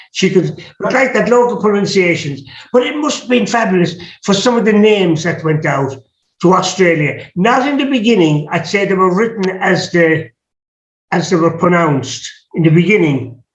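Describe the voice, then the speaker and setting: A man's voice: high-pitched, lively intonation, moderate pace. A man with an Irish accent hosting a casual interview, musing aloud and often restarting his words. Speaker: host. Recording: echoey room, dull lo-fi sound.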